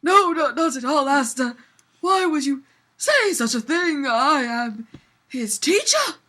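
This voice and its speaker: A woman's voice: sits high, animated, slow pace, sharp tone, slight background noise, full character voice. A woman voicing a haughty, curt male god prince from fan fiction, with stiff, formal declamation. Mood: indignant, defensive.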